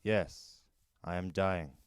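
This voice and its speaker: A man voicing a gentle, dying alien in a novel reading, with grave, measured statements. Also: deep voice, smooth. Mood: grave, resigned. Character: gentle dying male alien speaking telepathically, solemn.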